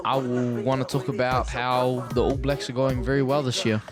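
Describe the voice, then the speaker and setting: A man's voice: mid-range voice, flat delivery, normal speed, over music. A man appearing as a returning podcast guest, giving blunt, opinionated takes on sport. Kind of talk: casual podcast banter.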